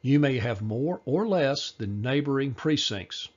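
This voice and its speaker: A man reading a scripted informational voice-over, measured and explanatory, slowing down for key details. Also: medium pitch, animated, slightly rough, lo-fi sound. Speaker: party presenter.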